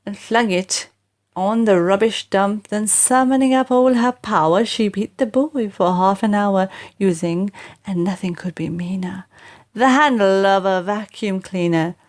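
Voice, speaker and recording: A woman narrating rhyming children's stories in an expressive, dramatic, deliberate storyteller's way. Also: medium pitch.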